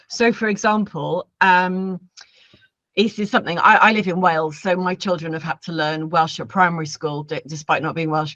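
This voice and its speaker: A woman's voice: lowish voice, a bit bright, dry recording. A woman co-hosting an online teaching event, explaining points in a chatty, informal way. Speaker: online education event speaker and co-host. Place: online event, dry sound. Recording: dull, dry online call audio.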